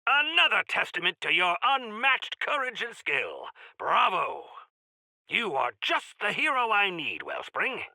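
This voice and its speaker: Grandiloquent game quest-giver, man, fairly high voice, very forceful and ringing, pompous florid delivery, heroic. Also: huge pitch swings, unhurried, vocal fry, dry recording, full character voice. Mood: triumphant, grand. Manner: pompous, florid, theatrical phrasing. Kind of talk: video game character voice lines.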